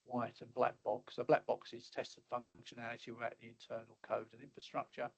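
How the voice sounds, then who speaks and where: A middle-aged man giving a webinar talk over slides, halting and full of fillers and restarts, his voice a little hoarse as he keeps clearing his throat. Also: mid-range voice, level tone. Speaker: webinar presenter. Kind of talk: casual presentation with slides. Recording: slightly dull lo-fi audio.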